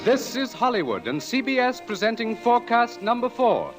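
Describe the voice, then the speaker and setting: A man's voice: extremely high pitch, huge pitch swings, normal speed. A man announcing in a formal, dramatic, scripted 1940s radio style. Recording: vintage radio broadcast with music.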